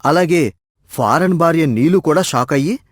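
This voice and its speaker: A male storyteller with a fairly high voice narrates a scripted moral tale. The recording is dry and close. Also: lively intonation, moderate pace, no echo.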